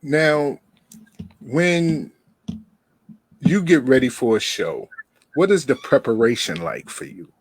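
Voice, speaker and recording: A man's voice: mid-range voice, lively intonation, slow pace, pauses often, breathy edge. A man hosting a casual radio interview, encouraging his guest with frequent affirmations. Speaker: radio show host.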